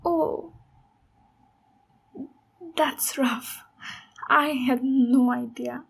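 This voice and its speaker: Woman acting as female ogre warrior, high-pitched, slow-paced, gentle, encouraging mentor, intimate ASMR fantasy roleplay. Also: steady tone, creaky, husky, exaggerated voice. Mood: concerned. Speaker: ASMR voice actor.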